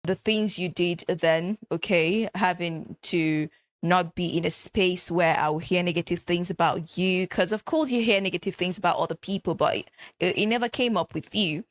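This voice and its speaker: A woman's voice: fairly low pitch, moderate pace, dry recording. A woman with a Nigerian accent co-hosting a couples podcast, often finishing her partner's sentences and adding affirming remarks. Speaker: podcast co-host. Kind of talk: casual conversation.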